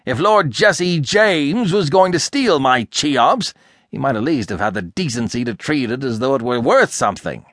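A man's voice: mid-range voice, lively intonation, fast, hardly any pauses, a bit bright, no room sound, clean studio sound. A man reading a storybook, voicing an irascible, sarcastic, blustering older rich American man who hectors explosively. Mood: indignant. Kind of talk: audiobook character acting.

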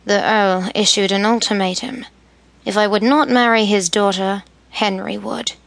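A woman voicing Charles, a stern, teasing young nobleman, in a slightly lowered, firm voice while reading a story. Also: fairly even intonation, moderate pace, gravelly, a bit bright, no echo.

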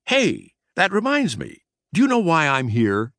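A man voicing a brash, mischievous mouse cousin in a children's storybook, squeaky and giggly, with cocky, teasing delivery. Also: wildly swooping pitch, measured pace, a bit bright, no room sound, clean studio sound, full character voice. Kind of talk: acted children's storybook dialogue.

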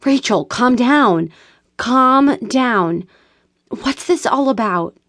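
A woman's voice: fairly high pitch, lively intonation, slow pace, a bit bright, no echo, clean studio sound, voice pushed up, full character voice. A woman reading an audiobook voices an indignant, protective friend who speaks firmly and with emphasis. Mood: alarmed.

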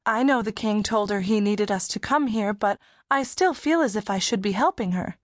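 A woman's voice: medium pitch, animated, normal speed, no echo, studio quality, natural voice. A female performer acting an earnest, anxious fourteen-year-old country girl in an audiobook, plain-spoken and worried.

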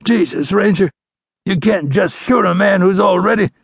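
High, strained dying outlaw. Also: male voice, creaky, husky, dry recording, clean studio sound, full character voice. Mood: pained, outraged. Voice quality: strained, slurred, groaning. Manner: drawn-out, sneering, contemptuous. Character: dying wounded Old West outlaw, bitter and defiant. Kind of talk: western audiobook character acting.

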